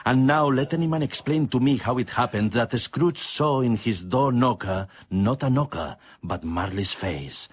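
A man's voice: medium pitch, animated, normal speed, breathy edge. An upbeat man reading narration in an amateur radio play. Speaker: performer, narrator. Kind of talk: read narration, vocal sound effects.

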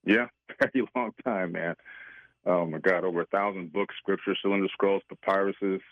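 A man in his fifties talks on a radio call-in, chatty and rambling, laughing easily between thoughts. His voice is low and comes through a tinny phone line. The sound is dry. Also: steady tone, normal speed, dry recording. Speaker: regular guest, researcher.

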